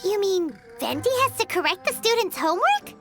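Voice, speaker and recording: A woman voicing a tiny, excitable, nosy female fairy sidekick in a video game, with a squeaky, cutesy delivery. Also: a little fast, music in the background, exaggerated voice. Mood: excited, nosy. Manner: squeaky, cutesy, speaks of self in third person.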